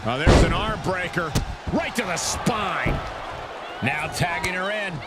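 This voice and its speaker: A man playing an excitable wrestling play-by-play announcer in a video game, making emphatic, projected calls. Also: sits high, unhurried, heavy background noise. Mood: excited. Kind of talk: sports commentary in a video game.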